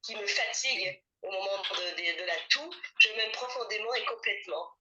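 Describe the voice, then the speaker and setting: Une femme enrhumée, le nez pris, qui parle de façon bavarde et répète les phrases d'une séance de tapotement en appel vidéo. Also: female voice, medium pitch, moderate pace, pushed and bright, poor audio. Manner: chatty, repeats herself, laughs often. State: congested, with a head cold. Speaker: participant in a group EFT session. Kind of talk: casual chat and repeating EFT phrases. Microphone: video call.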